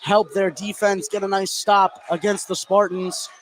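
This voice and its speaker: A man with a slightly high voice calls a basketball game live on radio. He works as the play-by-play announcer, energetic as he follows the action. Some background noise from the game sits behind him. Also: measured pace.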